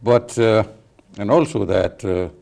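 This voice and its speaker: A man's voice: fairly low pitch, a little slow, vocal fry, a little mellow. An older man hosting a studio interview, hesitant, with many fillers and tag questions. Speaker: talk show host.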